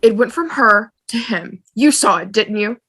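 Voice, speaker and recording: Bright, insistent, panicky woman passenger, acted by a woman. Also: medium pitch, animated, normal speed, husky, dry recording, full character voice. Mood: insistent scolding. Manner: insistent, scolding. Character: panicky, stubborn, bossy wife among frightened passengers. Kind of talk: amateur table-read drama.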